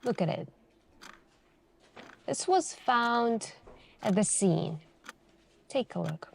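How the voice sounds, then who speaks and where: A woman playing a calm, insistent police detective in an ASMR interrogation roleplay, speaking in a hushed, deliberate way with pauses between short phrases. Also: a little high, vocal fry, a little hiss or hum, heavily acted. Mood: ominous.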